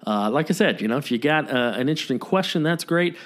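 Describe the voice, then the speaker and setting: A man's voice: fairly high pitch, expressive, quick pace. A man hosting a podcast in a casual, folksy, slangy way. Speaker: podcast host. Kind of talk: casual chat.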